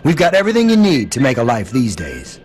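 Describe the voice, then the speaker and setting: A man's voice: mid-range voice, wildly swooping pitch, fast talker, darkish tone, a little hiss or hum. An actor playing a folksy wasteland trader and protective father figure in a video game, with an American accent and a drawling manner. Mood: proud, easygoing.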